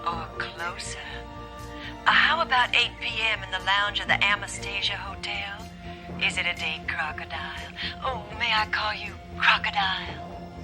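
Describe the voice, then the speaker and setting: An actress playing a flirtatious widow who talks in a soft, purring, seductive way. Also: female voice, mid-range voice, moderate pace, over music, close to an everyday voice. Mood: sultry. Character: sultry flirtatious female widow.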